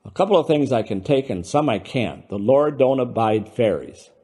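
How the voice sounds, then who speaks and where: A man voicing a big, blustering, loyal diner owner in a short story, with barking, folksy, rough speech. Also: mid-range voice, sing-song, normal speed, dark tone, voice pushed up. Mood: gruff indignation. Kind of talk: dramatised short-story reading.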